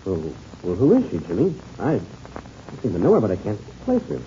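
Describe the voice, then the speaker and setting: A hearty, excitable grown man, a pilot in an old radio adventure serial. His pitch leaps about dramatically over a very dark tone. The old recording has a faint hiss. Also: brisk, muffled recording. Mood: thoughtful recall. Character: hearty, excitable adult American pilot, companion to a boy.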